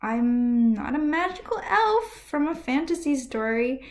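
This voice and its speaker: Woman vlogger, on the higher side, earnest and ranty, talking to camera from a room at home. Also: very animated, a little slow. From United States. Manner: ranty and earnest, with soft breathy asides. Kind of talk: impassioned personal commentary to camera.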